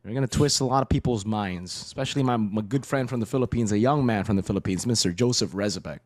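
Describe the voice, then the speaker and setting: A man's voice: lowish voice, fast, a little mellow. A man from Indonesia chatting casually and playfully as an esports podcast panelist.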